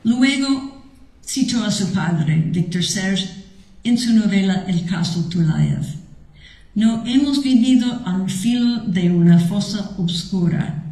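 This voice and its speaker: A woman at a conference slowly reading a prepared tribute aloud, with a Mexican Spanish accent and the odd slip. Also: mid-range voice, expressive, mellow. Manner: slow, measured reading with some slips. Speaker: conference speaker. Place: lecture hall. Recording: echoey hall.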